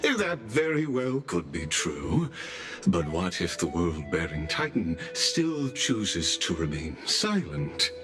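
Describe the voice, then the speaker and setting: An actor playing a suave, courteously menacing official in a video game, formal and theatrical, with drawn-out pauses. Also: male voice, low-pitched, sing-song, over music, full character voice. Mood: smooth, probing.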